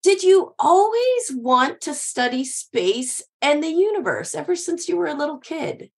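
A woman's voice: sits high, very animated, measured pace. A woman hosting a science podcast for kids, enthusiastic and exclamatory. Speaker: podcast host. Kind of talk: upbeat kids' podcast hosting.

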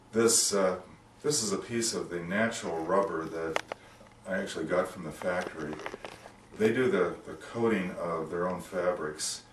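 A man in his sixties giving an informal product explanation as a company representative, measured and explanatory, with hesitant pauses. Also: sits low. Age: 60s. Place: small office indoors. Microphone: camera microphone a few metres away. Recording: roomy, distant microphone, background noise.

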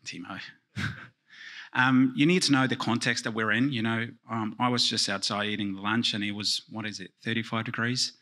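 A man in his thirties from Finland giving a joking conference keynote in a large lecture hall, speaking into a handheld microphone and dropping into quiet asides. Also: fairly low pitch, steady tone, normal speed. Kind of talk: conference talk with jokes.

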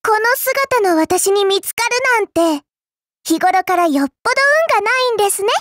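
A woman voicing a childlike, polite but quietly spiteful girl in a game, with a cutely teasing manner. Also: very high-pitched, expressive, brisk, dry recording, studio quality. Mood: polite disdain. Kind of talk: Japanese game character voice lines.

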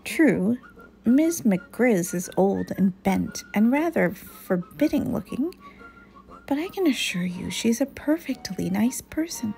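A woman voicing a sensible, reassuring mother bear in a children's storybook, firm and measured. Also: fairly high pitch, sing-song, creaky, mellow, soft background music, full character voice, poor audio.

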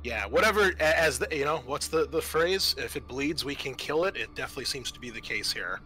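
A man acting as game master, narrating a tabletop game in a halting, conversational way. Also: medium pitch, animated, bright, forceful tone.

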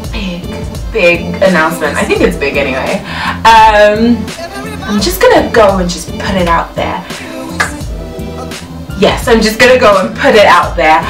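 Animated young woman from Ghana, echoey room. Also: mid-range voice, distant mic. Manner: animated, laughing, acts out her own reactions. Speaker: vlogger. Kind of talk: casual storytelling vlog. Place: small bedroom, indoors. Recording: echoey room, voice off-mic, loud music behind.

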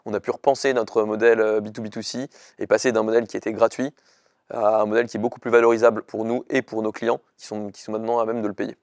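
A man's voice: on the low side, flat delivery, fast talker, darkish tone. A young man, a startup chief executive giving an earnest, hesitant interview to camera in short bursts. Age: young adult. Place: indoor studio with dark backdrop. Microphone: lapel mic.

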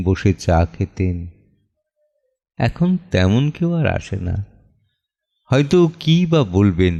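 A man playing a weary, wounded middle-aged father in a dramatic audio play monologue, with deliberate, theatrical delivery and heavy pauses. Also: lowish voice, a little mellow. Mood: weary, resigned. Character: weary, wounded father of an assaulted daughter, brooding.

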